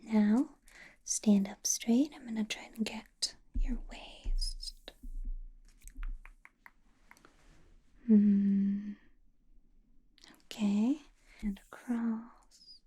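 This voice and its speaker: A woman playing a possessive, doting vampire boss in an ASMR roleplay, whispering in a breathy, teasing, intimate way. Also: mid-range voice, steady tone, warm tone, heavily acted. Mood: softly commanding. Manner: slow, teasing, intimate personal-attention delivery.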